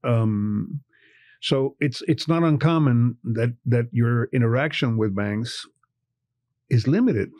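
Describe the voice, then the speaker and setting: A man's voice: mid-range voice, takes it slowly, a little mellow, dry recording. Older man, passionate and emphatic. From Cuba. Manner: passionate, repeats words when stressing a point. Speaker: bank executive. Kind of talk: podcast interview.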